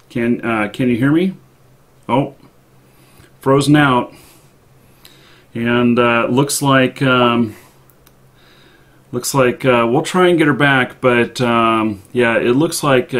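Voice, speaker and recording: A man hosting a live stream in an unscripted, chatty way, speaking haltingly with long pauses. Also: mid-range voice, a bit bright. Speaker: live show host.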